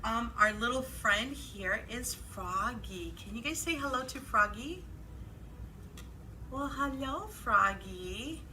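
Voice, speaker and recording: A woman's voice: a little high, animated, slow pace, pushed and bright, a little hiss or hum, rough recording. A woman hosting a children's library storytime, speaking in an exaggerated sing-song way for young children. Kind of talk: children's storytime, read-aloud and singalong.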